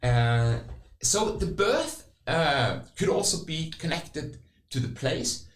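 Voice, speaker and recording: A man talks his way through ideas in stops and starts, often repeating words before landing on a point. His pitch swings up and down a lot. The room is echoey around him. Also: sing-song. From west coast of Norway. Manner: halting, many restarts and repeated words. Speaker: podcast co-host. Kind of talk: conversational podcast discussion. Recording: roomy echo.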